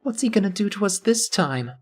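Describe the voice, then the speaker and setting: A woman playing an earnest, gentle teenage schoolboy in an anime fandub. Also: mid-range voice, expressive, a little fast, slightly rough, recorded from a distance. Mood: somber, tense. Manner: earnest, consoling. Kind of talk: anime game fandub acting. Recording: music under the voice.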